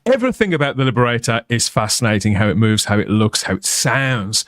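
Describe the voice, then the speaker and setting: A man's voice: medium pitch, expressive, a little fast, fairly bright tone, no echo, studio quality. A middle-aged man with a Birmingham accent hosting a live stream chat in a playful, jokey way. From England. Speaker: host.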